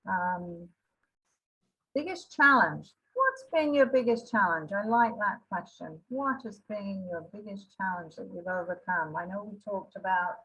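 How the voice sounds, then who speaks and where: A woman's voice: mid-range voice, expressive, bright, forceful tone, breathy edge, dull audio. A woman moderating a panel discussion on a video call, hesitating and restarting as she moves through questions. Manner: halting, with fillers and restarts.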